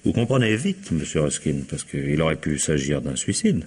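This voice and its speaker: An actor playing a shrewd, wry police inspector in a French radio mystery, probing with ironic politeness and gruff impatience. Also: male voice, very deep voice, very animated, moderate pace, a little mellow, full character voice.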